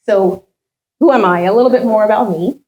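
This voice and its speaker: A middle-aged woman speaking at a community meet and greet, telling her story earnestly with now and then a light touch of humor about herself. Her first language is French, and her voice sits at an ordinary middle pitch for a woman. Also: brisk, highs cut off. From Ghana, raised in France. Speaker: head of a charitable foundation. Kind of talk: public speech at a meet and greet.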